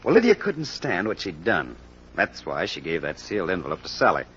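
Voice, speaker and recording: A sharp, authoritative detective from an old radio mystery. His lowish voice moves dramatically up and down as he deliberately lays out his reasoning. The old recording is muffled, with a little noise. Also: huge pitch swings, heavily acted. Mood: confident, analytical. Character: sharp, commanding male detective solving a murder. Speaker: actor in a radio crime drama.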